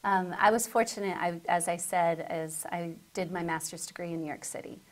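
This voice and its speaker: A woman's voice: fairly low pitch, steady tone, normal speed. A middle-aged American woman from southern Connecticut, a school principal answering interview questions conversationally. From southern Connecticut, United States.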